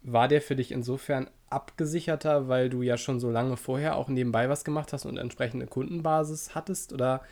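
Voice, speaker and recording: A man's voice: mid-range voice, fairly even intonation, brisk, few pauses, a little mellow. A man hosting a podcast, asking long, rambling questions in a casual, friendly interview. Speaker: podcast host.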